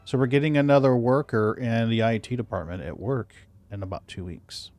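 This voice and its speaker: A man's voice: mid-range voice, brisk, soft background music. A man streaming a game, chatting casually with a friend, talking to himself and humming idly. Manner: relaxed, talks to himself, hums idly. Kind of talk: casual chat while gaming.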